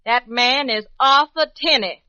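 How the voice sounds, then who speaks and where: An actress in an old-time radio drama playing a fervent, insistent courtroom witness. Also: female voice, high voice, a little slow, bright, forceful tone, smooth, dry recording, faint music behind. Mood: fervent, accusing. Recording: dry, muffled vintage radio recording.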